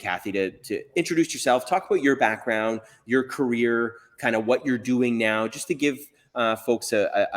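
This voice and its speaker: A man's voice: lowish voice, fairly bright tone, big-room sound. A man hosting a casual interview, enthusiastic and often repeating words as he introduces his guest. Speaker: host, recruiter.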